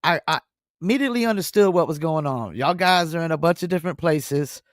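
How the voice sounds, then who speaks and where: Man, interviewer, higher voice, dry recording, relaxed questions. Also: brisk, no room sound. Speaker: interviewer host.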